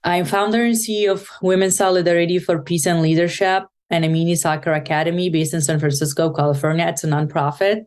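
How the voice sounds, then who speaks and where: A woman from Afghanistan with an Afghan accent, giving an earnest podcast interview, halting and restarting often. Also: fairly low pitch, fairly even intonation, darkish tone. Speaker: podcast guest, nonprofit founder.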